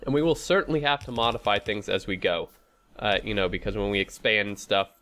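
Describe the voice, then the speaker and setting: Male gaming host, fairly low voice, nasally stuffed from a head cold; casual, hesitant, talks through plans as he plays. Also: fairly quick, sharp tone. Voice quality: stuffy, congested nose. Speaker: host of a gaming playthrough series. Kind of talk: casual gameplay commentary.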